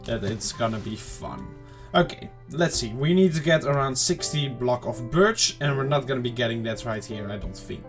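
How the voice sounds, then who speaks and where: A mid-pitched man chats loosely to his viewers while he plays, talking half to himself as he goes. His throat sounds a bit off and he keeps clearing it. Soft game music plays quietly behind him. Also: animated, normal speed, few pauses. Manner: rambling, chatty self-talk to viewers. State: throat slightly off, clearing it often. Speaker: gaming channel host. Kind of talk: casual let's-play commentary.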